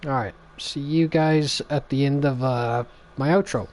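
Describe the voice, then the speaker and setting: A man in his thirties chatting casually and haltingly as a game streamer, speaking into a headset mic. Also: mid-range voice, darkish tone. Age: 30s. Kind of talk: casual stream intro chat.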